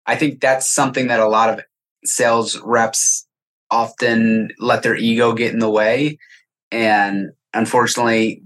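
A man's voice: medium pitch, a bit bright. Guy on a podcast, sales exec type, normal mid voice, casual, tells it in little quotes. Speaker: podcast guest, software sales executive. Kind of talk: casual interview chat, anecdotal.

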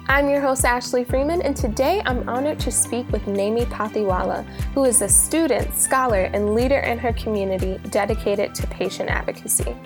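A woman hosting an interview podcast, speaking into a close microphone. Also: on the high side. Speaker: podcast host. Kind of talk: scripted introduction and interview.

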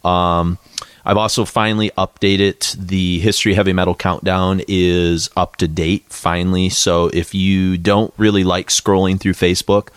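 A man with a very low voice co-hosts a casual podcast. He rambles, with plenty of fillers and restarts. The sound is dry and close on the mic. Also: flat delivery, moderate pace, clean tone, dry recording. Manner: rambling, lots of filler words. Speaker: podcast co-host. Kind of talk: casual chat. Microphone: studio podcast mic.

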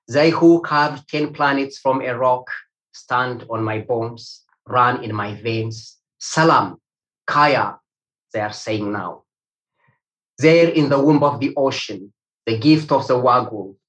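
A man from Ethiopia whose first language is Amharic, speaking with an Ethiopian accent. He reads a poem slowly and with care, then answers questions haltingly, with many "uh" fillers. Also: medium pitch, fairly bright tone, low-quality recording. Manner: slow, measured recitation. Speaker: poet and academic guest. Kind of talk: poetry reading then interview answers.

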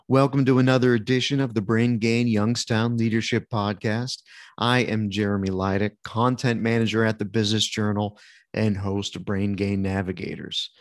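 A man's voice: lowish voice, fairly even intonation, moderate pace, dry recording. Friendly male podcast host, dry sound. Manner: friendly, conversational. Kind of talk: casual podcast hosting and interviewing.

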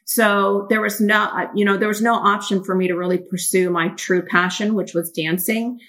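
A woman's voice: mid-range voice, moderate pace. A Mandarin-woman, candidly telling her life story as an interview guest. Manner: candid, blunt, energetic. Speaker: guest, company founder.

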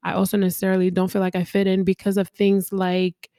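A young woman from Congo and South Africa talks in a relaxed, reflective way, letting her thoughts run on in long stretches. Her voice sits at an ordinary middle pitch. The recording is dry, with no room echo. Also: quick pace. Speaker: podcast co-host. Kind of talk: casual conversation.

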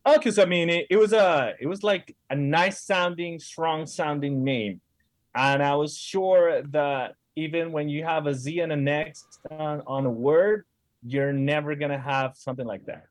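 Halting man with a Venezuelan accent. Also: a little high, dry recording. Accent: Venezuelan Spanish. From Caracas, Venezuela. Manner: halting, searching for words, many fillers. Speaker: guest musician. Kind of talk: casual interview chat.

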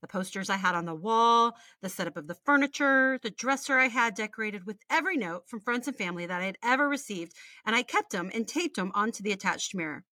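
A woman's voice: mid-range voice, expressive, fast, few pauses, sharp tone, no echo. An American woman in her forties, a podcast co-host reading a personal story aloud with candid, wry, chatty asides and casual swearing. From Texas, United States. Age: 40s.

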